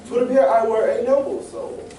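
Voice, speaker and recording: An actor playing a pompous, wordy, food-obsessed cartoon moocher in old radio comedy style. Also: male voice, very high voice, steady tone, normal speed, mellow. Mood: lofty. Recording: roomy stage recording, far mic, faint noise.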